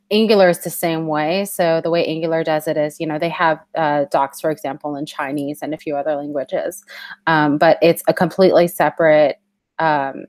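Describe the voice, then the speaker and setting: Woman host, lowish voice, dry close sound, curious questioning manner. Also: darkish tone, no echo. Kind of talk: casual tech discussion.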